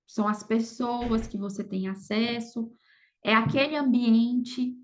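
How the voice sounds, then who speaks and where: A woman's voice: on the high side, takes it slowly, slight background noise. A Brazilian woman talking casually as an interview guest, often adding little check-in tags to her sentences. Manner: conversational, frequent "sabe" and "entendeu" tags. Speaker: podcast guest, affiliate marketer.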